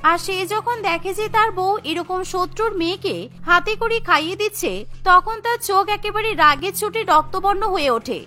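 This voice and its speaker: A woman narrating a cartoon story in an even, dramatic storytelling manner. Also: very high voice, normal speed, soft background music.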